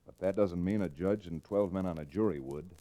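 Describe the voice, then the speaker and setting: An actor playing a level-headed, wry lawyer hero in an old-time radio western. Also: male voice, low voice, brisk, mellow, natural voice. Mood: reasoning. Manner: wry, reasoning.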